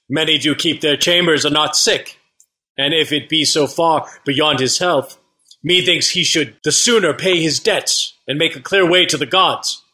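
An earnest captain from a Shakespeare play, voiced by a man, making formal, rhetorical speeches. His voice is forceful and somewhat high. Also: a little slow, poor audio. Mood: pointed. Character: earnest, pleading male Athenian captain or petitioner. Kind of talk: unrehearsed Shakespeare play reading.

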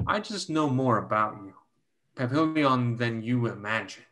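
A man's voice: mid-range voice, expressive, a little slow, bright, forceful tone. A man playing an insinuating, flirtatious suitor, coaxing and needling in stage dialogue. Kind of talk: dramatic dialogue in a play reading. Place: indoors at home on a video call.

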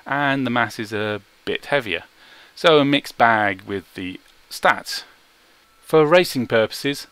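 A man giving measured, explanatory video game commentary in a self-deprecating way, recorded as a close-mic voice-over. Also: mid-range voice, fairly bright tone, no echo. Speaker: gaming video creator.

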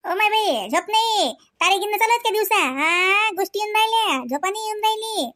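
A woman's voice: very high-pitched, animated, sharp tone, dry recording, clean studio sound, full character voice. A woman voicing chatty, homely village women in a family cartoon, with a rural Varhadi Marathi accent and a gossipy, colloquial manner. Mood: fussing. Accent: Varhadi Marathi, rural Vidarbha. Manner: gossipy, colloquial, drawn-out kinship exclamations.

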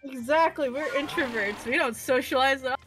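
High-voiced woman chatting over a game. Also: a little fast. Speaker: gaming video co-host. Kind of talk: casual chat over gameplay. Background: music.

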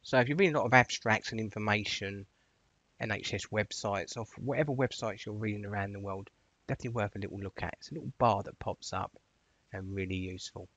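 A man with a fairly low voice talks a viewer through software steps. He is chatty and instructive, leaning on the first words of each phrase. The recording is dry and a little dull, as if on a close computer mic. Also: quick pace, no room sound. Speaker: software tutorial presenter. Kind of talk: informal instructional screencast narration.

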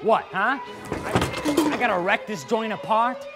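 A man with a high voice rants angrily on a theatre stage, cursing and accusing. Music sits clearly behind him in the hall. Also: lively intonation, a little slow. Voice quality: angry, profane. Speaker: stage actor. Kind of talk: live stage acting.

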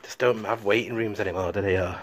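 A man's voice: fairly low pitch, steady tone, quick pace. A man giving informal walk-around commentary to viewers as an exploration vlogger, recorded outdoors on a handheld device. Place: outdoors and in derelict roofless buildings.

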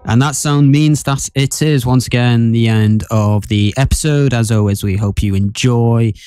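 A young man co-hosting a podcast in casual chat, with a mock-solemn, drawn-out delivery. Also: mid-range voice, mellow, clean tone, dry recording, faint music behind. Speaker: podcast co-host.

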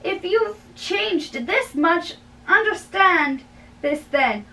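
A woman's voice: extremely high pitch, animated, sharp tone, voice pushed up, exaggerated voice. A young woman playing an exasperated, wise older holy man who scolds emphatically in a dramatic play reading. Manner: emphatic, drawn-out admonishing. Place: small bedroom, indoors. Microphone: camera a few feet away. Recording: distant mic in a bedroom.

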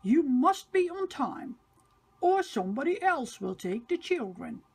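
A woman's voice: high voice, very animated, unhurried, a little mellow, pitched above the performer's own voice, full character voice. A woman voicing a stern but kindly male railway boss in a storybook, giving firm, emphatic instructions. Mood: stern, urging.

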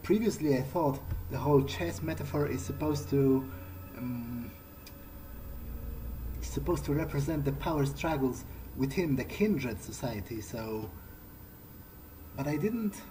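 A man's voice: mid-range voice, dark tone, low-quality recording. A man musing in character as he plays a video game, speaking in a hesitant, thoughtful way. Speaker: gamer playing in character. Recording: faint background noise.

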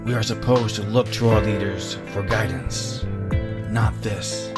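A low-voiced, weary survivor broods through a zombie apocalypse drama, acted by a man. He speaks deliberately and dramatically, like someone weighed down by guilt. Loud music plays behind him. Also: fairly even intonation, lightly acted. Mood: bitter, brooding. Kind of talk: cinematic roleplay narration and dialogue.

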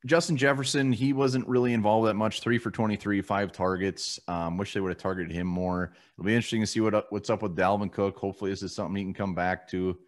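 A man's voice: on the low side, fairly even intonation, fast talker, few pauses. A man co-hosting a casual postgame sports show from a home room over a video call, blunt and casually swearing as he runs through stats. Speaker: co-host of a sports fan show.

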